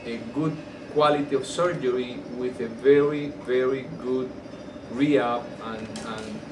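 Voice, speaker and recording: A man with a Colombian Spanish accent gives unscripted interview answers as a surgeon. His pitch is average and his phrasing halts and repeats. He sounds set back from the microphone in a roomy space, with air-conditioning noise behind him. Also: steady tone, a little slow. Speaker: orthopedic surgeon being interviewed. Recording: roomy, distant mic, air-conditioner hum.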